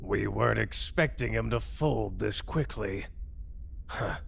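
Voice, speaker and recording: A man playing a calculating, coldly approving superior in an audio roleplay, gravelly and husky, speaking formally and condescendingly. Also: fairly low pitch, takes it slowly, a bit bright, full character voice. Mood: smug, approving.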